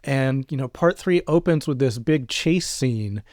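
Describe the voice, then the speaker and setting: A man co-hosting a podcast in casual, halting chat, introducing an audio drama episode. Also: mid-range voice, expressive, darkish tone, no echo, clean studio sound. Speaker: podcast co-host.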